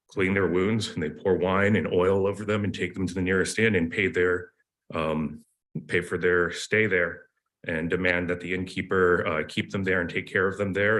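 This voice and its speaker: A man with a hoarse, deep voice that still comes out forceful and sharp, speaking at a meeting podium. He rambles through a sarcastic story with fillers and restarts. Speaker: public commenter. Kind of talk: public comment at a meeting. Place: county committee meeting room. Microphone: podium microphone.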